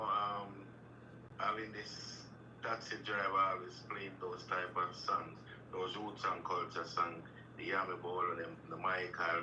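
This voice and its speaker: A man, a guest artist talking on a video call in a relaxed way, often saying "you know". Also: low-pitched, muffled recording. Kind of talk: casual interview over a video call. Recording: echoey, distant, noisy call line.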